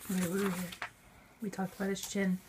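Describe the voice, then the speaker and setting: A woman's voice: mid-range voice, completely flat delivery, fast talker. Woman chatting casually over heavy noise. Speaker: listener prompting her mother. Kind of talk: casual chat. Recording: very noisy home recording with clicks.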